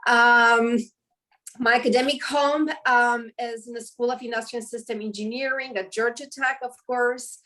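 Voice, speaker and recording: A Romanian-accented woman in her forties speaks haltingly as a webinar guest, often pausing on ums and correcting herself mid-phrase. She sounds set back from the microphone, as if heard over a video call. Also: fairly high pitch, fairly even intonation, sharp tone, recorded from a distance. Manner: hesitant, many ums and restarts. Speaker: professor and guest. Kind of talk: webinar interview answer.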